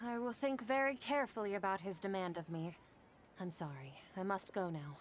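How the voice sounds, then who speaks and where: A woman's voice: mid-range voice, moderate pace, slight background noise, natural voice. An actress playing an earnest, troubled young woman in a video game, polite and sincere. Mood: anxious, respectful. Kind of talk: video game character acting. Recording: game music behind the voice.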